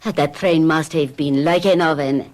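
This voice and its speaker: A low, soft-voiced innkeeper woman who coaxes and soothes like a purring cat. Her pitch sits very low for a woman, and every line comes slow and gentle, as if stroking the listener. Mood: soothing. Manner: soothing, coaxing and unhurried. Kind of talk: 1970s radio drama acting.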